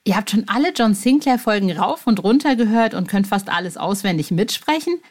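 A woman's voice: medium pitch, lively intonation, brisk, a little mellow. A woman reading an advertisement in a friendly voice, addressing listeners directly. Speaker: ad announcer. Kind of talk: read advertisement.

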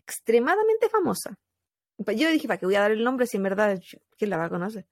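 A woman with a Chilean accent telling a true crime story in casual podcast chat, animated, with fillers and laughs. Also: medium pitch, fast, no room sound, studio quality.

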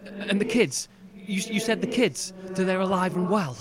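An actor playing a troubled, gentle man in a horror audio drama, hesitant and emotional in his delivery. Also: high-pitched, a little slow, crowd noise. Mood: overwhelmed, tender. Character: troubled, gentle man trapped in darkness, longing for family.

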